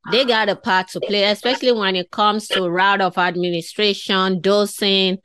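A woman's voice: mid-range voice, fairly even intonation, normal speed, fairly bright tone, clean tone, no room sound. A woman interviewer from West Africa asking long, enthusiastic questions. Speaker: podcast host.

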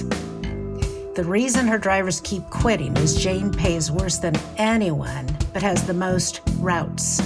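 A woman acting as an earnest, confiding bus operator narrating an audio drama in first person. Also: lowish voice. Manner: confiding, matter-of-fact. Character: earnest, loyal woman bus operator telling her story. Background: prominent guitar music.

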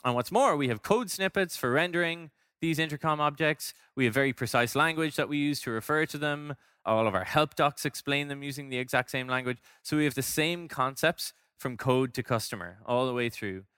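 A man with a crisp, bright voice delivers a prepared talk in a very dry recording. He explains his points in an orderly way, slipping in conversational asides as a presenter would. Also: medium pitch, brisk, hardly any pauses, no room sound. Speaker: product design director giving a talk. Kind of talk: prepared conference-style presentation.

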